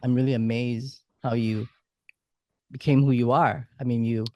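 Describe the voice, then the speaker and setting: Enthusiastic male interviewer, mellow tone. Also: medium pitch, little pitch movement, moderate pace. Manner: enthusiastic, admiring, eager. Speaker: radio host and interviewer. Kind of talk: casual interview chat.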